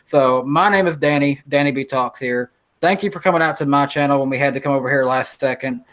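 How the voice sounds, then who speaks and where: A man's voice: mid-range voice, fairly quick. A man in his twenties hosting a casual podcast chat over a video call, often restarting his sentences. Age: 20s. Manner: relaxed, repeats words while starting sentences. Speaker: podcast host.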